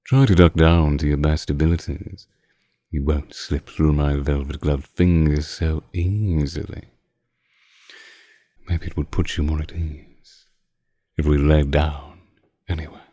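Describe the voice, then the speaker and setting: An ancient vampire lord, acted by a man, speaks in an extremely low, dark voice. He is suave and predatory, drawing out each phrase slowly with teasing condescension, as in an intimate audio roleplay. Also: fairly even intonation, no room sound, studio quality. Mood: amused, condescending. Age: older. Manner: slow, deliberate, archaic phrasing, teasing condescension. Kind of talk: scripted roleplay audio drama, intimate close-mic monologue.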